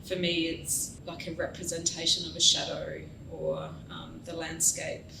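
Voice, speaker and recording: Woman, artist, gravelly, hesitant, distant, echoey room, rumbling noise. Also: big pitch swings. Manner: hesitant, many pauses and fillers. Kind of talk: talking about her artwork.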